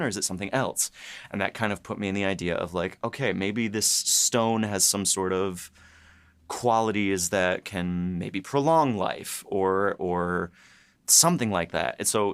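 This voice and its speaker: A man with a fairly low voice talks casually and with real enthusiasm, rambling as he explains things. The sound is dry, with little room around him. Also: fairly bright tone, no echo. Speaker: podcast host and episode writer. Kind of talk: casual behind-the-scenes chat.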